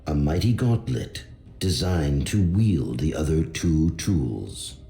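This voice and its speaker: An actor playing a solemn storyteller, narrating a fantasy video game in a measured manner. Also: male voice, a bass voice, big pitch swings, creaky, dark tone, echoey room, faint music behind, exaggerated voice. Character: solemn male storyteller of heroic fantasy tales.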